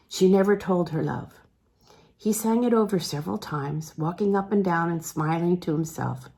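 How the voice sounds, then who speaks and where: A woman narrating literary fiction aloud as an audiobook reader, measured and expressive, letting phrase endings fall away softly. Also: fairly low pitch, vocal fry. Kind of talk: scripted literary narration, reading a novel aloud.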